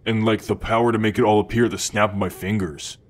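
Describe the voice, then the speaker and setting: A man's voice: on the low side, steady tone, quick pace, lightly acted. A man playing a cocky, food-obsessed male demon in an ASMR roleplay, talking to the listener in a casual, hesitant slacker drawl. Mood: playful boasting.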